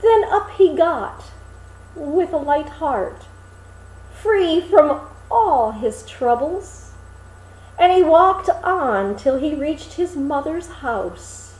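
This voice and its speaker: A woman reading a fairy tale aloud in an expressive, dramatic storytelling manner. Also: high voice, reverberant, poor audio. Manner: animated, dramatic, deliberate phrasing. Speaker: storybook reader.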